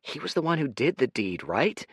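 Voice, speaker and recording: A man's voice: medium pitch, big pitch swings, normal speed, husky, no room sound, clean studio sound, voice pushed up. A man voicing a flippant, placating young man who talks his way out of trouble with wry charm. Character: glib, flippant young man, quick-talking.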